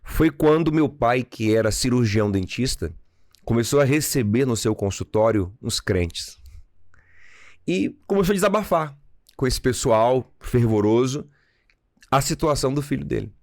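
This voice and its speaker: A man from Salvador, Brazil, a pastor and podcast guest, telling his testimony calmly and earnestly in a Bahian accent. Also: mid-range voice, normal speed, dry recording, studio quality. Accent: Bahian Brazilian Portuguese. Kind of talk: personal testimony in conversation.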